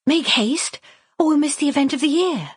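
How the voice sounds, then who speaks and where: A woman voicing an impetuous eighteen-year-old Victorian girl who is easily hurt and quick to plead. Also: high voice, expressive, dry recording, clean studio sound, voice pushed up. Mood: eager.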